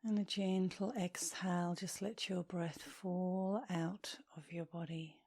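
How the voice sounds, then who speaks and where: A woman leading a guided meditation as an instructor, speaking softly and soothingly, with gentle, breathy phrase endings. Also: fairly low pitch, little pitch movement. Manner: soothing, gentle, unhurried with long pauses.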